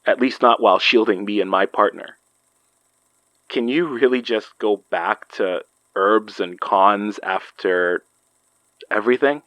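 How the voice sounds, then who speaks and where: Slow, low-voiced, steady man. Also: no room sound, dull audio. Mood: concerned. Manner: unhurried, gently teasing. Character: steady, teasing, caring man, investigator with a partner. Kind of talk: naturalistic audio drama acting.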